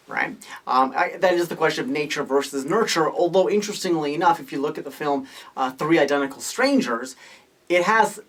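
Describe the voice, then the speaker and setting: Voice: medium pitch, a bit bright. A podcast host giving a casual, unscripted opinion monologue, rambling and thinking aloud with rhetorical questions. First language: Hungarian or English, multilingual.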